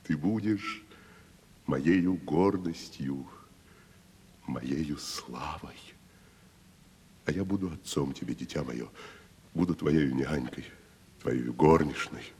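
Deep, grandiloquent old-school tragedian. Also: male voice, takes it slowly, long pauses, husky, full character voice. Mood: tender, solemn. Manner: declamatory, pompous, swelling and hushed. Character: grandiloquent, magnanimous wandering male tragedian actor. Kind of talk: theatrical Russian radio stage acting. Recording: 1970s radio play, reverberant, music behind.

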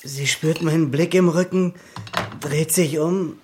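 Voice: mid-range voice, steady tone, unhurried, close to an everyday voice. An actor playing a lovestruck, intense young man confiding in his sister, in a flashback of a German radio crime drama. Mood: awestruck, in love. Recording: clear noise, clatter of dishes.

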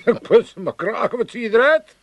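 Spluttering, high-pitched old Amsterdam father. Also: sing-song, fairly quick, muffled recording, heavily acted. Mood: teasing. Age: older. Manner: spluttering, stammering, repeating himself. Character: blustering, teasing old working-class Amsterdam father. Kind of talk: 1980s Dutch comedy radio play acting.

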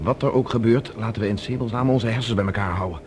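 A man's voice: lowish voice, fast, very noisy, natural voice. A man playing a decisive professor in a science fiction radio drama, speaking with urgent, analytical authority. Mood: tense, alert. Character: decisive male professor plotting as captive space traveller.

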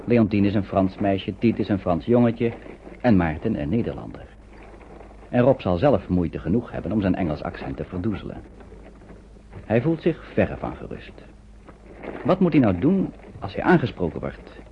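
An actor narrating a radio play as a grave storyteller, in a measured, suspenseful, scripted style. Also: male voice, low-pitched, sing-song, slight background noise. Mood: uneasy, suspenseful. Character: grave storyteller recounting a wartime flight. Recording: dull old radio recording.

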